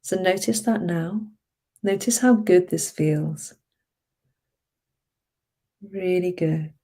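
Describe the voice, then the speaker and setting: Slow, mellow woman guiding hypnosis. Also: on the low side. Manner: soothing, unhurried, encouraging, with drawn-out phrases. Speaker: hypnotherapist guiding a relaxation session. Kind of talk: guided hypnosis meditation.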